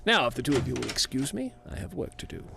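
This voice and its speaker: A man playing a dutiful, weary leader in an audio drama, speaking in a measured, formal and resolute way. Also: mid-range voice, sing-song, vocal fry, background noise. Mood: firm, formal.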